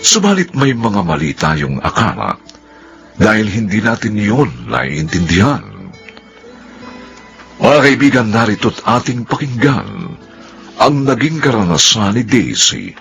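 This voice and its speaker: A Filipino man delivers solemn radio drama narration over prominent music. His low voice is slow and gravelly, swinging dramatically up and down. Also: wildly swooping pitch, a bit bright. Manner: solemn, declamatory.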